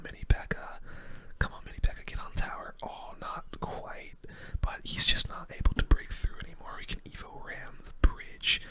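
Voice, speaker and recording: A person giving casual, slangy, mildly grumbling gaming commentary in a breathy ASMR whisper. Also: bright, forceful tone. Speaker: gaming video host.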